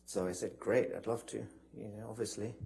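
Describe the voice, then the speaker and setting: A man's voice: lowish voice, steady tone, a little fast, creaky, mellow, breathy edge. A man testifying as a witness in court, recounting from memory in polite, hesitant, broken phrases.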